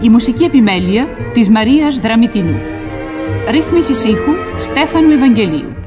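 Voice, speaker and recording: Female radio announcer, scripted credits, slightly high pitch, music underneath. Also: expressive.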